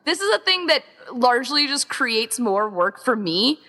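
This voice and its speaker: A woman's voice: a little high, sing-song, normal speed, sharp tone. A woman chatting casually as an interview guest, in an informal, gushing, emphatic way, with lots of filler "like". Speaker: podcast interview guest, event organizer. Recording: long reverberant echo.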